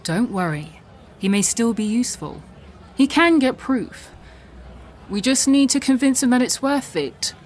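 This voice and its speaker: A woman's voice: fairly high pitch, animated, measured pace, darkish tone, a little hiss or hum. An actress playing a confident, scheming revolutionary woman in an audio drama. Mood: sly, assured.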